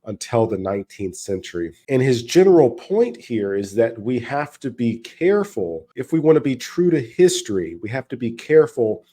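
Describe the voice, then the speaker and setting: Nasal man with a cold, mellow voice. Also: medium pitch, very animated. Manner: measured, explanatory, repeats phrases for emphasis. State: getting over a cold, a little nasal. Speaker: history and philosophy educator. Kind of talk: commentary reviewing a video clip.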